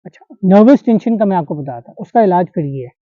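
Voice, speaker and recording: A man's voice: high-pitched, animated, quick pace, dark tone, dry recording. A man instructing a class, demonstrating pressure points on a volunteer and checking that the students follow. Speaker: acupressure instructor. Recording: dull lo-fi sound.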